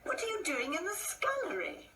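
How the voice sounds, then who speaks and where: An actress playing a prim, patient but exasperated older wife in a radio play, chiding and full of questions. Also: female voice, very high-pitched, wildly swooping pitch, moderate pace, noisy background, exaggerated voice. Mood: fretful, searching.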